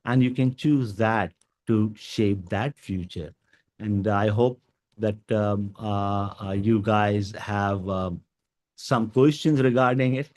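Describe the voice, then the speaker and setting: A man whose first language is Urdu gives an educational talk to teachers, with many pauses and fillers. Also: lowish voice, darkish tone. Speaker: guest speaker.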